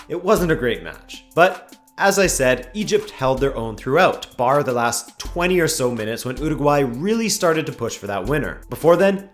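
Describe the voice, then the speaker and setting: A man hosting a daily football review show, delivering a scripted match rundown with dry, wry asides while losing his voice a little. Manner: dry, wry commentary with lively pitch swings.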